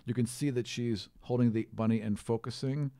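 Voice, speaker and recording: A man running a tabletop game as game master, narrating casually in halting, conversational descriptions. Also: medium pitch, fairly even intonation, dark tone, no room sound.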